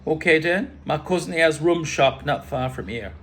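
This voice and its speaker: A man voicing a friendly, scolding Caribbean islander in a storybook reading, with a put-on Caribbean accent and a loud, blunt, emphatic way of talking. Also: on the high side, animated, normal speed, slight background noise, voice pushed up. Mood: hearty, friendly. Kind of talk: storybook character voice in a memoir reading.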